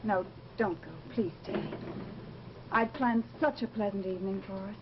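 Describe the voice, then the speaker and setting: An actress playing a lonely, yearning widow in a vintage radio horror drama, speaking graciously with wistful, dramatic intensity. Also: female voice, mid-range voice, moderate pace, lightly acted. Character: lonely, yearning widow of a musician, haunted and eerie. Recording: noisy, dull vintage radio recording.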